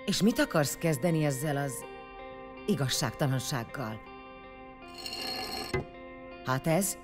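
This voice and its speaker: A hard-bitten female war leader played by an actress in a sci-fi audio drama, blunt and commanding. Her delivery swings widely in pitch, with music playing underneath. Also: expressive. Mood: probing, scornful.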